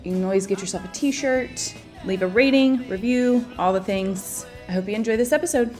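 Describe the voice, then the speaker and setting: Woman announcer, scripted intro, smooth read, faint music underneath. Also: on the high side, moderate pace, darkish tone. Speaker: show announcer.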